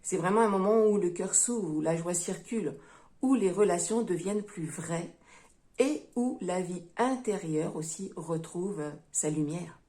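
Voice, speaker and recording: A woman gives a tarot and astrology reading straight to her audience, calling them her friends. She takes her time, and her delivery is slow and mellow. Small hesitations thread through her explanations. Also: lowish voice. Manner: gentle, unhurried, with frequent hesitations; addresses viewers as friends. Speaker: tarot reader.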